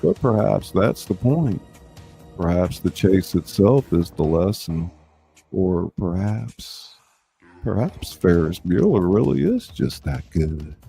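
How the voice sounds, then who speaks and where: A man's voice: low-pitched, sing-song, takes it slowly, creaky, mellow, soft background music. A man hosting a live reading, talking in a folksy way with plenty of y'all, moving between narration and chat. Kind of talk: warm-up and sign-off chat, scripted narration.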